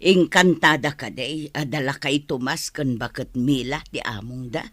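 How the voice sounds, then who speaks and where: A man acting in an Ilocano radio drama as a gentle, moralizing village parent who gives earnest advice. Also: medium pitch, a little slow, no echo. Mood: concerned.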